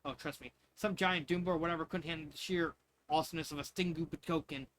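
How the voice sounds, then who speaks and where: Man reading as a cocky, bright-voiced fighter girl. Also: fairly high pitch, fairly even intonation, fast, a little hiss or hum, natural voice. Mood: boastful.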